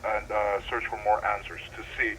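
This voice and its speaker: A man playing an earnest, wonderstruck deep-sea researcher speaking slightly formally into a recorded log for an analog horror drama. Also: level tone, moderate pace, pushed and bright, a little hiss or hum, poor audio. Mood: pensive, puzzled. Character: excitable male deep-sea researcher keeping an audio log.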